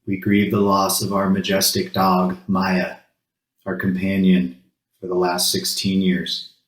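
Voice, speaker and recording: A man leading a congregation through a solemn grief ritual, speaking in measured short phrases with pauses between them. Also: deep voice, monotone. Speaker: congregation worship leader. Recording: roomy, echoing sound.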